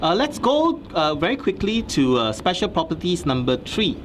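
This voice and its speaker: A man hosting a tech interview at a trade fair booth, recapping features in a lively, filler-heavy way with lots of "okay?". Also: high-pitched, normal speed. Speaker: tech reporter host. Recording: noisy trade fair background.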